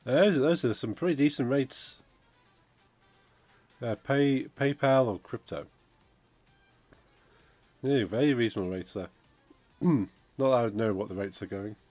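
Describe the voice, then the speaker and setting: A man, croaky from a cold, chatting casually on a game dev stream and reading out chat. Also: medium pitch, measured pace, long pauses, a little mellow, no echo. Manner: rambling, chatty, reads out chat messages. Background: funky music playing.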